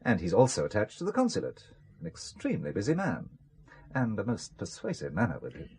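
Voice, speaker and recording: A man's voice: on the low side, wildly swooping pitch, fairly quick, a little mellow, slightly rough, full character voice. A wry, guarded businessman. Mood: dry, probing. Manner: dry, sardonic. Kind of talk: British radio drama acting.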